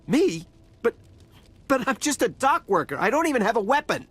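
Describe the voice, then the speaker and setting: An actor playing a cowardly, frightened dock worker in a video game, with a stammering, hesitant and defensive way of talking. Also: male voice, very high-pitched, very animated, moderate pace, fairly bright tone, slightly rough, full character voice. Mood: panicked. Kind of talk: video game dialogue acting.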